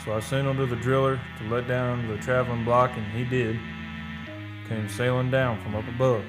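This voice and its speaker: A man acts out a rattled, defensive oil-rig hand in a radio play. He tells his grim, eerie story in plain, folksy working-man talk. His voice sits at a middle pitch, with music clearly playing behind it. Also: level tone, normal speed, lightly acted, poor audio. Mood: haunted, grim. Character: shaken oil-rig worker recounting eerie deaths, defensive under questioning. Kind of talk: radio drama first-person narration.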